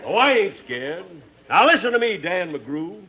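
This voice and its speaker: An actor playing a defiant, lovestruck frontier stranger, blustering in melodramatic 1950s radio comedy style. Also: male voice, sits high, very animated, measured pace. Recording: noisy, dull old radio recording.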